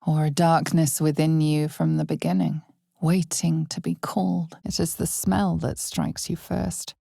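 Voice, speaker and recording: A woman giving dramatic scripted fantasy game narration in a measured, ominous storytelling manner. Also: deep voice, little pitch movement, creaky, warm tone, husky, no echo, clean studio sound.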